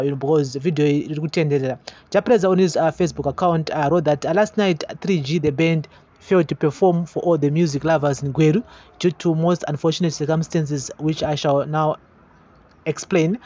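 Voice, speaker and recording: Zimbabwean guy doing online reaction commentary, kinda high voice, muffled lo-fi audio. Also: darkish tone. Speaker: online gossip channel host. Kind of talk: news reaction commentary, mixing languages. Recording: dull, lo-fi voice-over.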